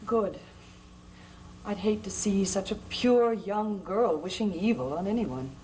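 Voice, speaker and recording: A woman's voice: medium pitch, unhurried, dark tone, a little hiss or hum, natural voice, low-quality recording. An actress playing a suspicious, insinuating woman in a gothic soap opera, asking pointed questions over music. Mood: arch, suspicious. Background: dramatic music behind the voice.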